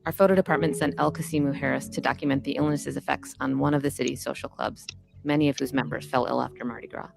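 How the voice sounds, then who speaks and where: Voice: mid-range voice, steady tone, fast, creaky. A person explaining design work in a documentary interview style, as a creative director would. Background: music bed.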